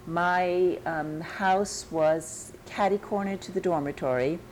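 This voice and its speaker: Low-voiced older woman, New York accent. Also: a little mellow, slight background noise. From United States. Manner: reflective, searching memory with pauses and restarts. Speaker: interviewee. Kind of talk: oral history interview.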